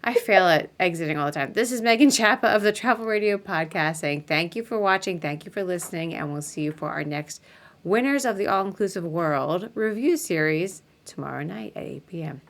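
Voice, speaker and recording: Podcast host lady, lowish voice, friendly and easy, lots of mm-hmms, on a video call. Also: lively intonation. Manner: friendly, quick affirmations. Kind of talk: casual interview hosting.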